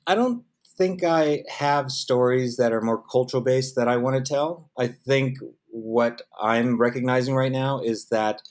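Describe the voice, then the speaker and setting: A middle-aged man with an American accent giving casual, anecdotal interview answers, animatedly acting out bits of dialogue. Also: mid-range voice. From San Diego, United States. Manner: animated storyteller, acts out quoted dialogue. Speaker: interview guest, film production professional.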